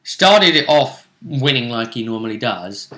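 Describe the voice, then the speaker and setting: Bright-voiced man in a roomy space. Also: medium pitch, sing-song, measured pace. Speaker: game master. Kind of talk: casual recap of a tabletop roleplay session. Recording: roomy, echoing room sound.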